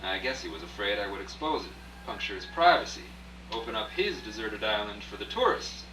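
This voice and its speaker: In an echoey room, an actor plays a wry male writer telling his tale in a radio play. The voice is sharp and very forceful, confiding yet theatrical, and sits a little far from the mic. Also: fairly low pitch, very animated, a little fast. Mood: wry, resigned. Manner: confiding, rhetorical, addressing the listener directly. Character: wry out-of-work male writer turned rich storyteller. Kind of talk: live radio play, first-person dramatic narration. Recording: echoey distant live rehearsal, faint hum.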